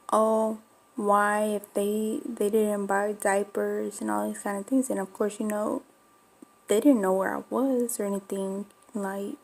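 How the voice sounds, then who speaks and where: Woman w/ a slight mexican spanish accent, gravelly flat voice, rambling storytime vlog. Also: medium pitch. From Florida, USA. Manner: rambling, confiding, many fillers. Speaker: bulldog breeder vlogger.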